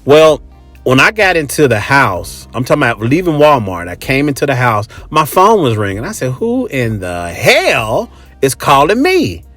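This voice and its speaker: A middle-aged man hosts a casual monologue in an ordinary mid-pitched male voice. He speaks bluntly and conversationally, leaning on his points for emphasis. Music plays behind his voice. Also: huge pitch swings, moderate pace, fairly bright tone. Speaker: host.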